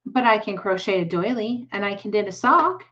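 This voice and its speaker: A woman's voice: mid-range voice, expressive, normal speed, fairly bright tone, dull audio, lightly acted. A woman reading the part of a worried, puzzled farm mother in a storybook.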